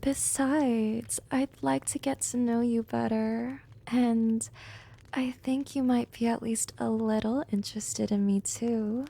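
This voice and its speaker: A woman playing a smug, gently dominant female vampire in an intimate ASMR roleplay, speaking softly and often slipping into whispers, teasing and coaxing. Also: on the high side, fairly even intonation, slow, full character voice. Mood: earnest, coaxing. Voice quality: soft, breathy, often dropping into whispers.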